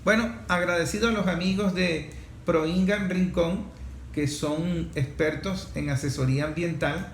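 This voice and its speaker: A man's voice: on the high side, fairly even intonation, unhurried, slight background noise. Venezuelan man hosting, in a roomy echo. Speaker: programme host. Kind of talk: talk programme commentary. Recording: roomy echo, faint music behind.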